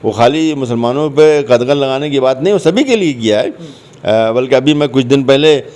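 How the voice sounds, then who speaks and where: Man, mid-pitched voice, conversational interview guest, explanatory, repeats phrases for emphasis. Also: expressive, moderate pace, a little mellow.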